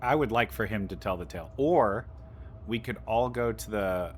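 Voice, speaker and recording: A man's voice: fairly low pitch, normal speed, a little hiss or hum. A man giving casual let's play commentary as he reacts to a game's story. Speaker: gaming streamer reacting to a story.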